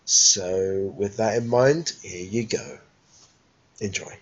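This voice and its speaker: Man, 30s, hoarse from a cold, casual rambling vlogger, muffled webcam audio. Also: fairly low pitch, slow. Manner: rambling, chatty, many fillers. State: croaky with a cold. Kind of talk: casual vlog chat. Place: living room sofa at home, then busy indoor exhibition hall. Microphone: webcam at home, handheld phone selfie at the show.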